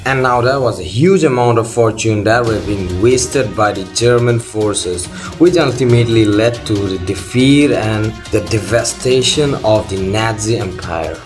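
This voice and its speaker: A man's voice: fairly low pitch, measured pace, few pauses. A man reading scripted documentary narration as the host of a video. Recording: loud music under the voice. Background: loud background music.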